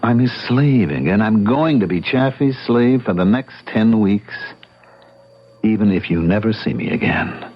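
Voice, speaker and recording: An actor playing an earnest, stubborn young American bachelor in an old-time radio drama, explaining himself emphatically. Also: male voice, fairly low pitch, animated, moderate pace, noisy background, natural voice. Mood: stubborn resolve. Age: young adult. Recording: muffled radio drama recording.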